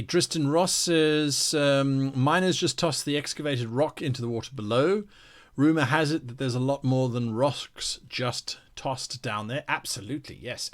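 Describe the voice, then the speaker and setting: A man's voice: medium pitch, fairly bright tone. A man hosting a live worldbuilding stream, chatting casually and reading out viewer comments in an enthusiastic, playful way.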